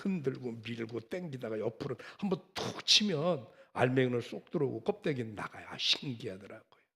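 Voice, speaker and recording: A man's voice: mid-range voice, expressive, fairly quick, pushed and bright, husky. A man who is a pastor preaching a sermon in a chatty, conversational way, asking rhetorical questions and pushing his voice up for emphasis. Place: church sanctuary. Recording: reverberant church hall.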